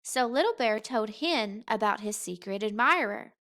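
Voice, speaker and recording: Woman, higher-pitched bright voice, slow pace, expressive children's storytelling, deliberate phrasing. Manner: unhurried, expressive, deliberate phrasing for young listeners. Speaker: teacher reading a picture book aloud.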